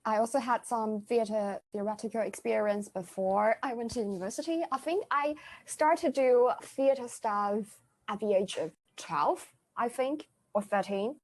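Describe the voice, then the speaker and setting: Brief: young woman, Chinese accent, casual podcast guest, halting and self-correcting. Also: mid-range voice. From China. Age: young adult. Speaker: student guest.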